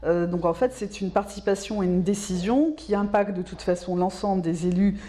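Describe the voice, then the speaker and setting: A woman giving explanatory interview answers as a specialist, in a measured, didactic way, repeating words for emphasis. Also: medium pitch, fairly even intonation, mellow, a little hiss or hum. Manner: measured, didactic, emphatic repetition, checks understanding with tags. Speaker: local government specialist being interviewed.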